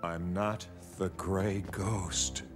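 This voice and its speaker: A weary, washed-up older actor from a cartoon, low-voiced and defensive. Clear music sits behind his lines. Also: fairly even intonation, very slow. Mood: bitter. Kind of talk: animated series voice acting.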